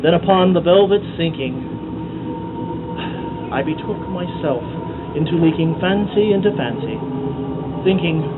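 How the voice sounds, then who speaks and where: A man giving a dramatic poetry reading in a theatrical, measured way with deliberate pauses. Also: a little high, expressive. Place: outdoors at night by a cornfield. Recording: muffled amateur outdoor recording, loud background noise.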